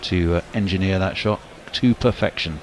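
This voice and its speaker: Low guy doing cricket commentary, brisk, bit of hiss behind. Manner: brisk play-by-play with sudden lifts on big shots. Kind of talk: live sports commentary.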